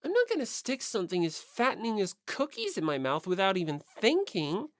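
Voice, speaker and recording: A woman's voice: medium pitch, very animated, brisk, no room sound, clean studio sound, close to an everyday voice. A woman voicing a vain, brash teenage fashion-model schoolgirl who teases and scoffs in a cutesy, scornful way. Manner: teasing, cutesy then scornful.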